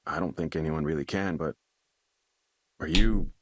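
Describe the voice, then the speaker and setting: An actor playing a troubled young man desperate to stay normal, agitated and halting as he repeats himself in a video game. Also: a bass voice, noisy background. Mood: shaken, grateful.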